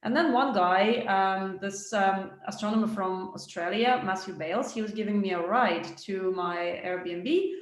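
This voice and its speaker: A woman's voice: mid-range voice, unhurried, hardly any pauses. A woman science writer giving an informal, colloquial webinar talk from a room over a video call. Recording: roomy echo on video call.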